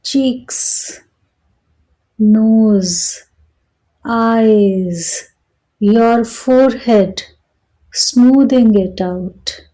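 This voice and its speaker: A woman guiding a meditation in a calm, soothing manner, speaking in short phrases with long pauses between them. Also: on the high side, low-quality recording. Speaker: meditation guide. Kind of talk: slow guided meditation narration.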